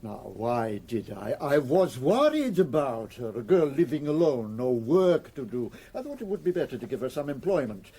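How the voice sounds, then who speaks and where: An actor playing a smooth, condescending crooked lawyer with a cultured, menacing, theatrical manner in a radio drama. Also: male voice, mid-range voice, big pitch swings, moderate pace, hardly any pauses, mellow. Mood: suave, patronising. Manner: suave, theatrical, mocking.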